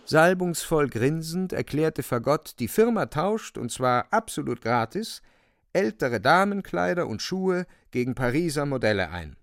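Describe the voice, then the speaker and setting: A man's voice: on the high side, big pitch swings, measured pace, no echo, studio quality. A man narrating a literary radio drama as a dramatic storyteller.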